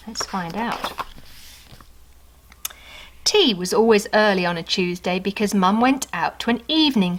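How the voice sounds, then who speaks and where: Expressive woman reading a children's story. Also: mid-range voice. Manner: expressive, conversational, unhurried. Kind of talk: children's story read aloud with asides to the listener.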